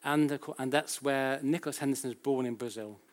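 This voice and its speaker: British man lecturing, halting and conversational. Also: mid-range voice. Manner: halting, self-correcting, with frequent repetitions; conversational, checks in with rhetorical questions. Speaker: academic lecturer. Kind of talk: public lecture, explanatory and anecdotal.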